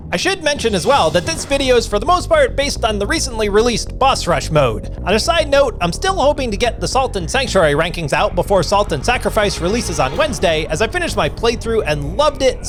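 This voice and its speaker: Male gaming voice-over host, high and animated, scripted but casual, music bed loud behind him. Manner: lively, self-deprecating, casual asides.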